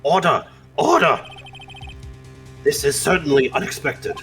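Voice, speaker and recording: A man's voice: on the high side, expressive, unhurried, a bit bright, recorded from a distance, faint music behind. A man voicing a flustered, formal elderly male judge with a pompous, befuddled manner in a comedic live game dub. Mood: stern bewilderment.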